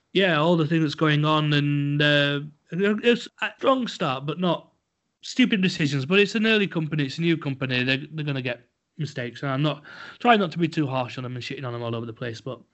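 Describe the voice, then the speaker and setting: A man's voice: on the high side, fairly quick, a bit bright. A man co-hosting a casual podcast, talking bluntly and rambling with lots of fillers and restarts. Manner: blunt and a little crude. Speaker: podcast co-host. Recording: dull lo-fi podcast audio.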